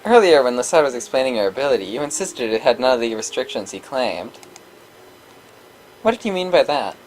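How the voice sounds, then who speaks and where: A man's voice: mid-range voice, sing-song, fairly quick, faint music behind, close to an everyday voice. A man voicing an introspective, self-doubting young male gamer, reading visual novel dialogue aloud over a noisy recording. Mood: questioning.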